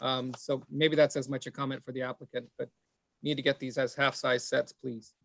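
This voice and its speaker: A man serving as planning commissioner, giving a halting, apologetic comment full of repeated words at a public meeting. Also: mid-range voice, level tone, vocal fry, a bit bright, slight background noise.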